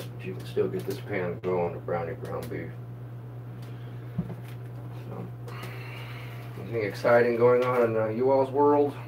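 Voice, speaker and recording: Hoarse man livestreaming his cooking. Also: low-pitched, far from the mic, background noise. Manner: chatty, greets viewers by name while cooking. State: voice going hoarse, getting sick. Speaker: home cook livestreaming. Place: home kitchen. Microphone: phone camera.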